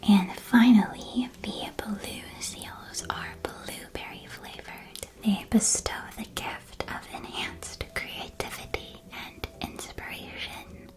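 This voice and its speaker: A tiny magical sweet shop where a kindly shopkeeper played by a woman whispers gentle, unhurried ASMR sales patter to a young witch customer. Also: mid-range voice, fairly even intonation, natural voice. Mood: gentle, helpful. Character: kindly, chatty female candy shopkeeper in a witch world. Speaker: ASMR roleplay performer.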